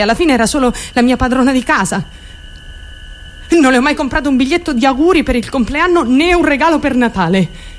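A woman's voice: mid-range voice, a little mellow, a little hiss or hum, close to an everyday voice. An actress playing a troubled, obsessive and fearful young woman in a radio drama monologue, intense and questioning herself as she confides in onlookers. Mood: uneasy, wary. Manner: intense, self-questioning, shifting suddenly in tone.

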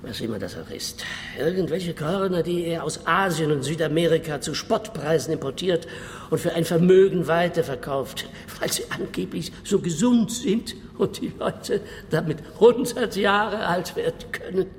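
A man's voice: fairly high pitch, animated, normal speed. An actor playing an earnest, talkative middle-aged wine merchant and father in a radio play, explaining himself. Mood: worried, earnest. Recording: reverberant cellar-like room, faint noise.

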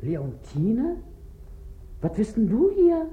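A woman's voice: fairly high pitch, wildly swooping pitch, slow pace, full character voice. An actress playing a shrewd, middle-aged working-class mother with an eastern German dialect, scolding and sarcastic in 1950s radio drama style. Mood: indignant scolding. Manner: earthy, sharp-tongued, commanding. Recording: dull, noisy 1950s radio recording.

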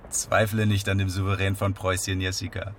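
A pompous companion who styles himself a legal representative. His voice is fairly low, his wording stilted and formal as he tries to soothe. Faint traffic noise sits behind this radio drama scene. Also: monotone, moderate pace. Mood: placating. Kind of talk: naturalistic German radio drama acting.

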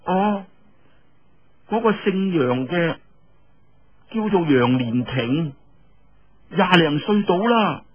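A proud young woman of the martial world, put on by a male storyteller, talks in a raised, husky voice. Her phrasing is drawn out and disdainful, swinging in pitch. The audiobook sound is muffled. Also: expressive, slow pace, long pauses, heavily acted. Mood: scornful. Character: young woman, proud, scornful daughter of sect leader.

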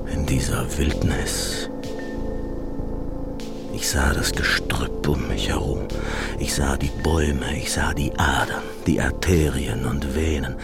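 An actor playing a haunted soldier in a radio play, recounting a dark journey in a brooding, halting, half-whispered monologue over music. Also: male voice, a bass voice, creaky, heavily acted. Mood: dark, hushed.

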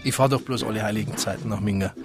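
An actor playing a hearty, teasing Bavarian farmer with a chummy, colloquial way of talking in a radio drama. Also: male voice, on the low side, fairly even intonation, very fast, close to an everyday voice. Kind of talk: naturalistic radio drama dialogue. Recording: music behind the voice.

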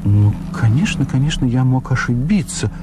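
A man's voice: mid-range voice, expressive, normal speed, background noise, natural voice. An actor in a Soviet radio drama playing a naive, courteous idealist, speaking politely and hesitantly and repeating his words. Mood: mild, courteous. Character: earnest, naive, courteous idealist visiting from abroad.

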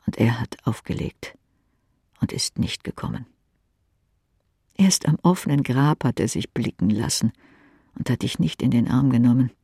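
A man narrates a novel in a husky voice with a lot of creak. He moves from emphatic phrases down to breathy near-whispers, recorded very dry. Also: medium pitch, normal speed, pauses often, mellow, no room sound. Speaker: audiobook narrator. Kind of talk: dramatic literary narration.

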